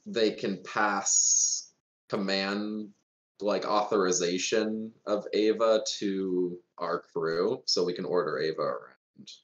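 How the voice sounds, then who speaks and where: A man's voice: deep voice, slow pace, roomy sound, low-quality recording. A man chatting casually as a player in a tabletop game. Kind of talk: casual chat.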